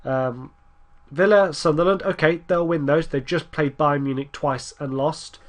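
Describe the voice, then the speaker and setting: Stuffy-nosed man, slightly high voice. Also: fairly even intonation, unhurried. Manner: rambling, restarts, urges his team on. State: stuffy nose from a cold. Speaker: gaming YouTuber. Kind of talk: casual gameplay commentary.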